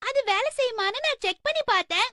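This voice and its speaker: A woman voicing an expressive, pleading little schoolgirl in a dubbed cartoon. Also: extremely high pitch, quick pace, exaggerated voice. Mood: wheedling. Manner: childlike, expressive. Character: schoolgirl, small, pleading and wheedling.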